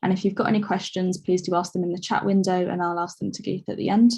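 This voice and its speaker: A woman chairing a conference session, briskly introducing the speaker and giving announcements. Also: on the low side, level tone, creaky, warm tone, husky.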